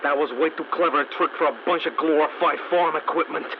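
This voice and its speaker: A man's voice: fairly high pitch, fairly even intonation, fast, lightly acted. A man voicing a stranded, weary mechanic who records dry, wisecracking audio log entries. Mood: wry. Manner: wry, dry humor. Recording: muffled lo-fi audio log with static noise.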